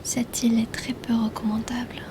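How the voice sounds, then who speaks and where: A woman's voice: a little high, completely flat delivery, lightly acted. A woman voicing a mysterious, shrewd mermaid healer in a whispered, intimate ASMR roleplay. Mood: intrigued, probing. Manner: hushed, slow, intimate, teasingly questioning.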